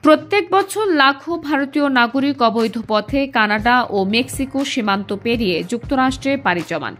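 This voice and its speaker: A woman with a Bangladeshi accent reads scripted news in a formal, measured manner. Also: on the high side, lively intonation, a bit bright. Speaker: news presenter. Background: music under the voice.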